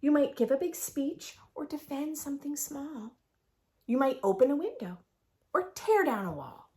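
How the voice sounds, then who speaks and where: A woman reading a picture book aloud for young children in an expressive, sing-song storytelling manner, adding her own asides. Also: sits high, normal speed. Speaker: children's read-aloud host.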